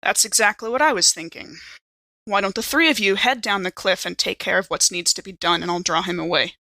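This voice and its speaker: A woman playing a tabletop role-playing game, brisk and decisive, moving between casual talk and character lines. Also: mid-range voice, sharp tone, no echo, low-quality recording.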